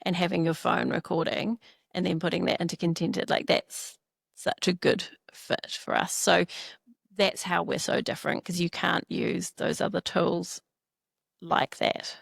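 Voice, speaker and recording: A woman's voice: fairly low pitch, fairly even intonation, measured pace, creaky, slightly rough, dry recording, studio quality. A woman from New Zealand with a New Zealand accent, co-founder of a startup, chatting in a casual interview, full of "you know" and "like".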